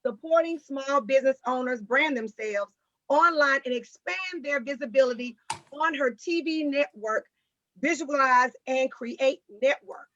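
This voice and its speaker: High-voiced enthusiastic woman host. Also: unhurried, fairly bright tone, no room sound, muffled recording. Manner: enthusiastic, addresses audience as y'all. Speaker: talk-show host. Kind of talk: hyped-up host introduction.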